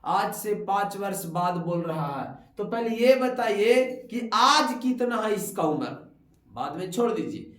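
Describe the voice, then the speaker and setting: High-voiced man teaching maths, slow. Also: lively intonation, fairly bright tone. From Bihar, India. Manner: explains step by step and keeps quizzing a pupil. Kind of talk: classroom maths lesson. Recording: echoey room.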